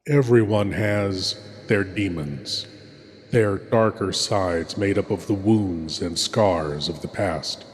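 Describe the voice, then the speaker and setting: A man performing as a sinister, suave horror host, giving scripted introductions with theatrical, portentous pauses. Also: deep voice. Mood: brooding. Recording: long cavernous reverb.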